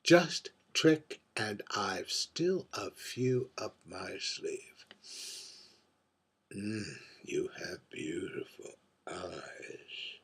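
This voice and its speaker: A man playing a husky, world-weary drag performer. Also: on the low side, very animated, exaggerated voice. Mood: melancholy, philosophical. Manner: confiding, deliberate, swinging from hushed breathy murmurs to emphatic bursts. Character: world-weary, wry drag performer named Trick, confiding over drinks. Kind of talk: theatrical dramatic monologue read by its author.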